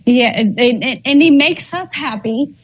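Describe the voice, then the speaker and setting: A husky, fairly high-voiced woman in her forties, Spanish her first language, talks freely as an interview guest, stumbling and repeating words as she gathers her thoughts. The sound is dull and lo-fi. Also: measured pace, no room sound. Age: 40s. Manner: chatty, repeats words while thinking, laughs often. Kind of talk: casual interview chat.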